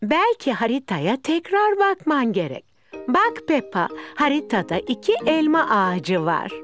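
Doting cartoon granny pig, high, melodic, unhurried, music behind. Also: female voice, sing-song, a bit bright, heavily acted. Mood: helpful. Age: older. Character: kindly, doting grandmother pig. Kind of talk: cartoon dialogue.

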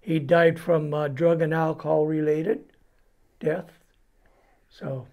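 Older Californian man, slightly high voice. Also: flat delivery, takes it slowly, lo-fi sound. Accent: Californian American. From California, United States. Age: 70s. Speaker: interview guest giving testimony. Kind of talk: personal life story in an interview. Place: indoors before a cloth backdrop.

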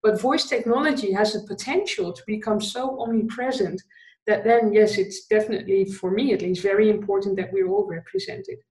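A woman's voice: mid-range voice, animated, fairly quick, darkish tone. Dutch woman in a roomy echo. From Netherlands. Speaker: guest, conversation designer. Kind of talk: podcast interview.

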